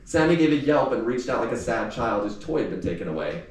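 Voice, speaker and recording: A man reading youth fiction aloud in an expressive, audiobook-like storytelling style. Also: medium pitch, quick pace. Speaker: author reading aloud from a novel. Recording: echoey room, distant mic, faint noise.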